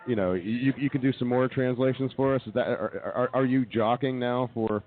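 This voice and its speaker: A man's voice: medium pitch, moderate pace, narrow, tinny audio. A man hosting a comedy radio show with casual banter, heard through thin, band-limited audio.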